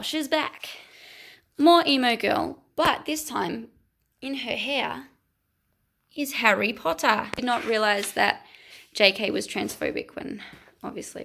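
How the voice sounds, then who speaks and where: Bright, gravelly-voiced woman vlogger. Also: fairly high pitch. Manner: chatty, self-mocking, encouraging. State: losing her voice. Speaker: art vlogger. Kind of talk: casual vlog commentary.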